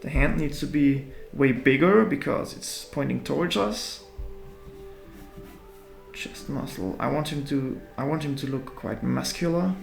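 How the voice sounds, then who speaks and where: Gravelly man, slow drawing chat. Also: medium pitch, roomy sound, soft background music. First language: German. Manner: halting, self-deprecating, thinking aloud while drawing. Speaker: artist. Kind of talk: live drawing commentary.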